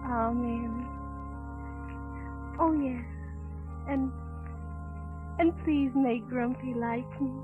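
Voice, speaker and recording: A woman playing a sweet, innocent young princess in a 1930s radio drama, speaking gently and earnestly. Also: high voice. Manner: earnest, gentle, childlike praying. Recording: muffled vintage 1930s radio broadcast.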